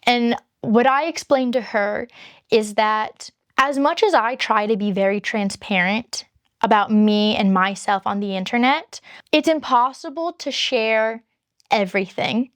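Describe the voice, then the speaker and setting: A woman YouTuber with a high-pitched voice, chatting candidly to her viewers and rambling through long explanations. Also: unhurried, a bit bright, no echo, clean studio sound. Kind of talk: casual chat to camera.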